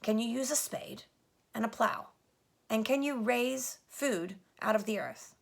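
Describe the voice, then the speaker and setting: Woman voicing a solemn, hushed lion king. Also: a little high, gravelly, voice pushed up. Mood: questioning. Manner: grave, measured and hushed. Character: solemn, kindly great male lion king.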